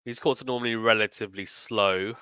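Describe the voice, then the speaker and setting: Man, casual sports preview host, bright clear voice, slightly croaky from being ill, chatty and emphatic. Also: on the low side, steady tone, fairly quick, dry recording. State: slightly croaky, recovering from illness. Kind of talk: casual tournament preview commentary.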